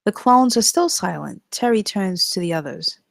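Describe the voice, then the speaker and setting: A woman's voice: medium pitch, animated, normal speed, creaky, no echo, studio quality. A woman narrating stage directions at a scripted table read.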